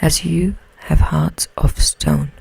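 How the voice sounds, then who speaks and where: Tiny spiteful fairy, done by a woman, bedtime storybook; slow, husky, menacing whisper. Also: low-pitched, fairly even intonation, darkish tone, dry recording, heavily acted, low-quality recording. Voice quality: breathy whisper.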